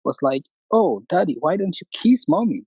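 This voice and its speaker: A middle-aged man from Cameroon with a Cameroonian accent, calling in to tell a personal story in a halting way with many restarts. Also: high-pitched, very animated, darkish tone, breathy edge, no room sound. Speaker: caller. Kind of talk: personal story on a call-in show.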